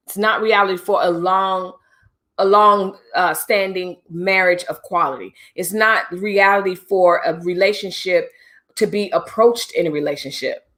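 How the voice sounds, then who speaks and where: A woman's voice: medium pitch, a bit bright. Chatty middle-aged woman livestream host. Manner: chatty, direct, preachy asides. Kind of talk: casual livestream talk to viewers.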